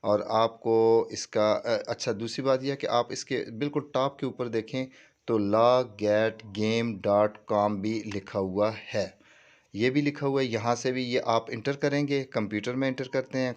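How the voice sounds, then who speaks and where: A man's voice: mid-range voice, clean tone. A man with a Pakistani accent giving an informal step-by-step tutorial, mixing in English terms and often checking that listeners follow. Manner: repeats reassuring check phrases, mixes in English terms. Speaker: tutorial presenter. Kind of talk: informal screen-walkthrough tutorial, explaining step by step.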